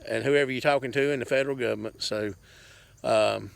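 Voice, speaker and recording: A man's voice: medium pitch, steady tone, a little fast, gravelly, no echo. A man gives a casual, rambling update to viewers in a folksy, plainspoken way, speaking outdoors into a handheld camera. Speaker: farm vlogger. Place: outdoors on a farm.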